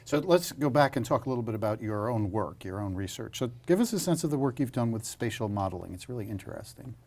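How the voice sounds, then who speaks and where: A man's voice: mid-range voice, lively intonation, fast talker, darkish tone. A man hosting an interview program, reading guest introductions and asking questions. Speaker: interview host, humanities center director.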